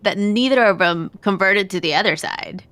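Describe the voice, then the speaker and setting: A woman's voice: mid-range voice, moderate pace, fairly bright tone, no room sound. A woman hosting an interview podcast, speaking English with some Spanish phrases mixed in. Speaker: podcast host.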